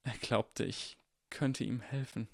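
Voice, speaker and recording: A man's voice: mid-range voice, steady tone, slow pace, dry recording, studio quality, voice pushed up. A man voicing an earnest, guilt-ridden boy hero in an audiobook, with a strained voice. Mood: subdued. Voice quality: strained, sometimes hoarse.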